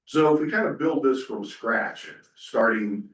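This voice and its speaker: A man's voice: mid-range voice, animated, measured pace, a bit bright, a little hiss or hum. Folksy man presenting in an echoey room. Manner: casual, folksy, many fillers. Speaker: conference panelist. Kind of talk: conference presentation. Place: conference room. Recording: echoey room, speaker far from mic.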